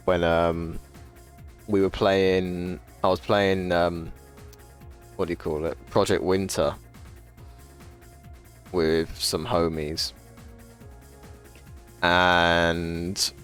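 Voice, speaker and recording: Low-voiced man, rambling stream chat. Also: clear, resonant tone. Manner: rambling and profane, with frequent laughs. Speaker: livestreamer. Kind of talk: casual chat to a live audience.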